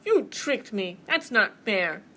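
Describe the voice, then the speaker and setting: A woman voicing a big, greedy, bossy bear from a storybook, with a booming, blunt way of talking. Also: lively intonation, extremely slow, bright, forceful tone, full character voice. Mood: outraged.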